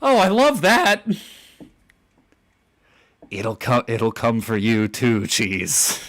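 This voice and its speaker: A young man in his twenties with a fairly deep voice, chatting casually as a podcast host. His pitch swings widely as he teases the others, and his tone is bright and forceful. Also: huge pitch swings, drawn-out words. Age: 20s. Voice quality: relatively deep voice. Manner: teasing, laughs often. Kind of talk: casual chat.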